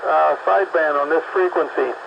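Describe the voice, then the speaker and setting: Gravelly man over staticky CB radio. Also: fairly high pitch, fairly even intonation, brisk, lo-fi sound, background noise. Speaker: CB radio operator. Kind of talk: casual CB radio chat.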